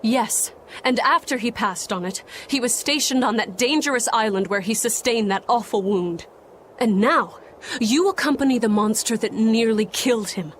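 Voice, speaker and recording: An actress playing a stern young noblewoman exorcist who speaks in a cold, formal, measured way. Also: on the high side, slight background noise, close to an everyday voice. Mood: accusing. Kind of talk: game voice acting.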